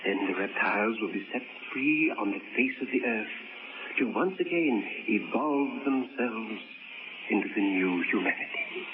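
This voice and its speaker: A man's voice: on the low side, lively intonation, measured pace. An actor playing a zealous old man who proclaims a reptile-ruled future, in grandiose, ominous tones, in 1940s radio acting style. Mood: fervent. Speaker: eccentric old man planning to die. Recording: tinny noisy old radio broadcast.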